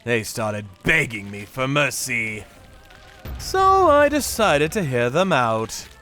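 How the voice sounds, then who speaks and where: Guy doing a grim vengeful torturer narrating a manga dub, slow and forceful, music underneath. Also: mid-range voice, very animated, heavily acted. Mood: cold menace. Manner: deliberate, menacing. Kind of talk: dramatic first-person manga dub narration.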